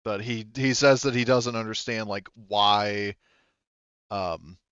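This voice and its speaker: Halting low-voiced man running a tabletop game. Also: steady tone, fairly bright tone, smooth, no room sound, muffled recording. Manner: halting, searching for words, casual swearing. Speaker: game master. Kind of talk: improvised narration of a tabletop roleplaying game, relaying characters' replies.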